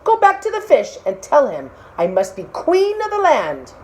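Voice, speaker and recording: A woman's voice: sits high, huge pitch swings, moderate pace, slight background noise, voice pushed up. A woman voicing a greedy, never satisfied fisherman's wife in a storybook, giving insistent, imperious orders.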